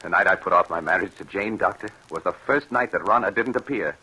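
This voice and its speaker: A man's voice: deep voice, brisk, bright, forceful tone, rough recording. An actor playing a haunted, fearful man in an old radio drama, melodramatic and intense, stammering under strain. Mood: grim, haunted. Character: haunted, fearful man tormented by a ghostly cat-woman. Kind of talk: 1940s radio drama acting. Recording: hissy old radio broadcast.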